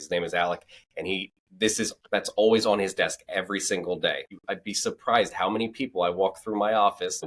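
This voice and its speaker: Deep-voiced man as podcast guest. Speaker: podcast guest, marketing expert. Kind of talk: conversational expert talk.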